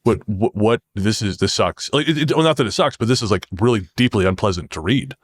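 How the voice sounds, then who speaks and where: Low-voiced man talking in halting bursts. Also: expressive, no room sound, clean studio sound. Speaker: podcast guest, horror fiction writer. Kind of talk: casual podcast conversation, storytelling.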